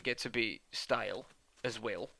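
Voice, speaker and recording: A man's voice: fairly low pitch, a little slow, vocal fry, sharp tone, slight background noise, full character voice. A man putting on a jokey mock-Australian drawl. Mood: playful. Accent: put-on Australian, based on a New Zealand acquaintance. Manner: playful, self-mocking, rambling. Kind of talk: let's-play commentary.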